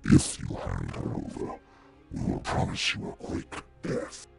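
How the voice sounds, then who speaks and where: An actor voicing a menacing demonic servant of a dark master in a video game, hoarse, rasping and slow. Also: male voice, faint music behind, close to an everyday voice. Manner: slow, threatening. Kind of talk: fantasy video game villain acting.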